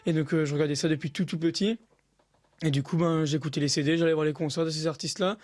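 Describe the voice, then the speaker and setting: A man's voice: fairly high pitch, fairly even intonation, quick pace, a little mellow, a little hiss or hum. A young man from Alsace, a guest singer on a TV talk show, answering casually with many fillers and restarts. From Alsace, France. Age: young adult. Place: TV studio.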